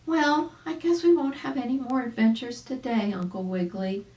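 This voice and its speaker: A woman's voice: on the high side, fairly even intonation, normal speed, darkish tone, voice pushed up, close to an everyday voice. A woman voicing a grateful, chatty male dog companion in a storybook, cheerful and earnest. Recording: roomy home recording.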